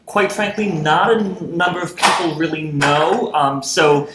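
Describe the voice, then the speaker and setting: A man's voice: a little high, fairly even intonation, measured pace, very noisy. A man who works as a university archivist giving a public lecture in an upbeat, teacherly way with frequent ums. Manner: upbeat, teacherly, many fillers. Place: lecture hall. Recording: echoey hall with faint clicks.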